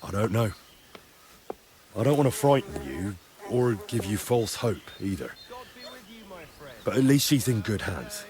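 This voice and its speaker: A man's voice: fairly low pitch, big pitch swings, measured pace, pauses often, darkish tone. A man playing an earnest young investigator in a medieval video game drama, direct and questioning, reassuring but pressing. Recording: clear background ambient noise.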